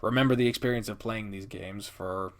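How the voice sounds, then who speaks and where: A man's voice: on the low side, fairly even intonation, brisk. A man hosting a casual, enthusiastic countdown video, speaking emphatically and dramatically with playful asides and some swearing. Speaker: host of a game video channel. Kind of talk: casual, enthusiastic top-ten countdown commentary.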